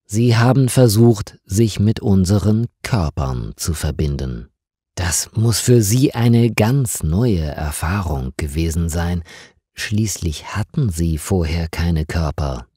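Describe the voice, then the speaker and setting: A man voicing a calm, eerie woman colonist on Mars in an audiobook, explaining things in a measured, solemn way. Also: deep voice, husky, no room sound, clean studio sound, full character voice. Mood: calm, grave.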